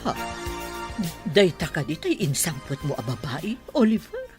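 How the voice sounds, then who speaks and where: A woman's voice: sits low, huge pitch swings, normal speed, a little mellow, faint music behind. A woman playing a proud, wealthy mother of a grown son in a radio drama, haughty and prying in melodramatic style.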